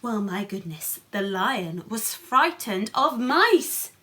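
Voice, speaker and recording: A woman reads a picture book aloud in a bright voice at a slow, careful pace. She is expressive and playful, speaking straight to young listeners as a storyteller. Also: medium pitch. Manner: animated, asks young listeners questions with playful asides.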